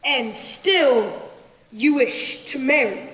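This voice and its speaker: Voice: high voice, animated, a bit bright, heavily acted. A young teenager acting a bitter, imperious Sultan in a school stage play. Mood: cold, commanding. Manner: imperious, deliberate. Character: embittered, cruel, melancholy Sultan, commanding and threatening. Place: school stage in a large hall. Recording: echoey large hall.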